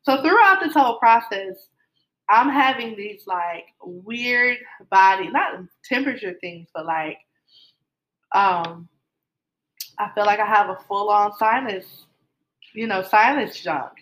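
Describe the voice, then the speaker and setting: A woman's voice: mid-range voice, expressive, slow pace, fairly bright tone, low-quality recording. A middle-aged woman casually telling her love story, rambling with many fillers and restarts.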